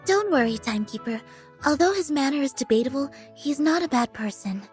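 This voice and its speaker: Dutiful young female assistant: high voice, formal and earnest game dialogue over faint music. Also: expressive, fairly quick, a little mellow. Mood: reassuring, formal. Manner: courteous, formal. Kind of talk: video game voice acting.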